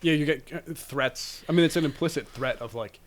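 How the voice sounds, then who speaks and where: A man hosting a podcast in casual chat, easygoing, quick to laugh, with halting phrasing. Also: mid-range voice, a little hiss or hum. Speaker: podcast host.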